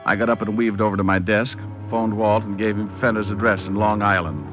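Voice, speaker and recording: An actor playing a cocky, wisecracking hardboiled private detective who narrates with deadpan humor in an old-time radio drama. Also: male voice, lowish voice, fairly quick, close to an everyday voice. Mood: wry narration. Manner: deadpan, flippant wordplay in narration and dialogue. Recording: muffled old radio recording with music.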